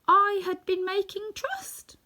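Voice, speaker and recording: A woman's voice: very high voice, sing-song, slow, bright, forceful tone, voice pushed up, full character voice. A woman voicing a meek, frightened little old man who pleads apologetically, in a storybook reading.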